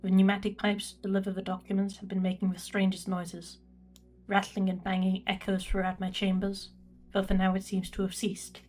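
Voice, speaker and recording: A woman's voice: medium pitch, completely flat delivery, a little mellow, faint music behind, close to an everyday voice. A woman playing a reserved imperial records prefect, dictating a log in a formal, precise manner for a sci-fi audio drama. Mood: musing, formal. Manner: formal, measured, clinical.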